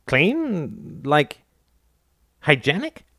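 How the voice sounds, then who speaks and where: A man's voice: a little high, wildly swooping pitch, sharp tone, no room sound, clean studio sound, voice pushed up, heavily acted. A man reading aloud and voicing a timid grown son who stammers and hesitates when defending himself. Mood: hesitant. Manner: halting, stammering.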